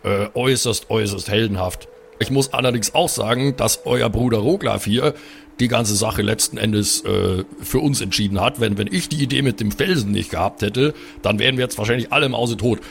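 A man's voice: on the low side, brisk, gravelly, a little hiss or hum. A man improvising as a player in a tabletop role-playing game, talking casually and explaining things wordily. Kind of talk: improvised in-character talk and casual game chat.

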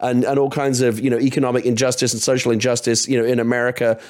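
A male podcast guest talking quickly at a middling pitch. He is emphatic and opinionated, often stammering into restarts as he makes his case in casual discussion. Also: level tone, a little mellow.

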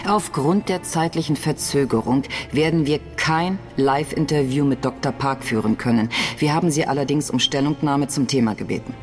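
A woman playing a composed company spokeswoman who gives measured, diplomatically defensive statements at a press conference in an audio drama. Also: extremely low pitch. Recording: long hall reverb, faint music.

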